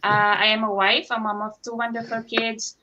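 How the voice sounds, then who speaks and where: A woman's voice: a little high, flat delivery, normal speed, bright, forceful tone, dull audio, a little hiss or hum. A woman from the Philippines giving a polite self-introduction on an online call. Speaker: guest on a group call.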